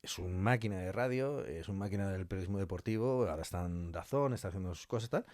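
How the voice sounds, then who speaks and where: Low-voiced man from Spain, close and dry. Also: fast talker, a little mellow, no room sound. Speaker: interview guest, audio fiction scriptwriter. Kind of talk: casual podcast conversation.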